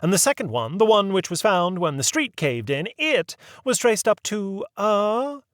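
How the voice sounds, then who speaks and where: A man's voice: high-pitched, sing-song, unhurried, fairly bright tone, dry recording, clean studio sound, voice pushed up, heavily acted. A man reading aloud and voicing a fussy, genteel older woman with a prim, sweetly proper manner.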